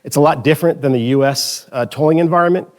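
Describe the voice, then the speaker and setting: A man's voice: fairly high pitch, fast. A man from the Philadelphia area giving a conference talk, telling anecdotes with joking asides and often correcting himself mid-sentence. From Philadelphia area, United States. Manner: chatty, joking asides, frequent self-corrections. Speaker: conference speaker.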